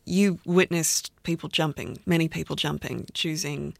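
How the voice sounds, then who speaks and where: A man's voice: fairly high pitch, fairly even intonation, normal speed, vocal fry, no echo, clean studio sound. A man hosting a radio interview program, asking thoughtful, searching questions. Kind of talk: interview host.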